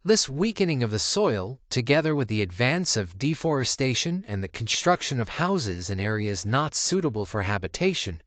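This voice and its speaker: A male narrator delivers a scripted voice-over in a mid-range voice. His intonation is lively and varied, and the recording is dry with hardly any room sound. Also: mid-range voice, no room sound. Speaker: narrator of a viral video compilation.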